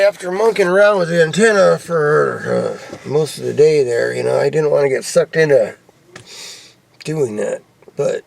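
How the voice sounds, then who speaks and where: American male hobbyist vlogger, folksy and laid-back, gravelly edge, lightly noisy amateur recording. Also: on the high side. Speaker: hobbyist vlogger showing off CB radio gear. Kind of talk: casual rambling show-and-tell. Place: in or around a pickup truck. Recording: noisy handheld camera recording with clicks.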